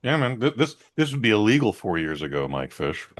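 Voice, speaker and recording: A man's voice: on the low side, big pitch swings, fairly quick. An American man co-hosting a podcast, telling rambling, easygoing stories full of fillers. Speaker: podcast co-host. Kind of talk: casual podcast chat.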